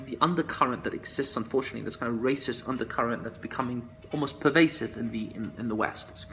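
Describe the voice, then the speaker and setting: A man answering viewers' questions in a casual live chat, soft-spoken and hesitant, with many fillers and restarts. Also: medium pitch, fast talker, soft background music. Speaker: musician answering fans in a live stream.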